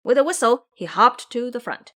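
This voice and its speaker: Female narrator for an epic audio drama: expressive, measured storytelling with very lively intonation. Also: fairly high pitch.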